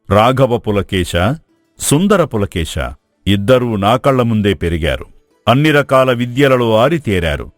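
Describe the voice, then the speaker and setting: A man acts a thoughtful monarch in a moral tale, with a low voice in a dry recording. His speech is courtly, respectful and deliberate. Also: no room sound. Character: humble, troubled king seeking counsel. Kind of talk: dramatised moral storytelling.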